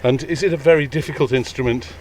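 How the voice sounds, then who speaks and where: A man's voice: mid-range voice, fast, a little mellow. A man hosting a walking interview, asking short, curious questions while a little out of breath climbing a hill outdoors. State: a bit out of breath. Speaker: podcast host. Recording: slightly distant outdoor mic, faint noise.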